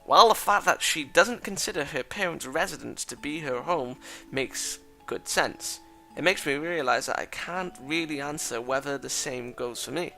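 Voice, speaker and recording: Man reading a reflective schoolboy, plain delivery, gravelly, somewhat high voice. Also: pushed and bright, full character voice. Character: thoughtful teenage schoolboy narrator.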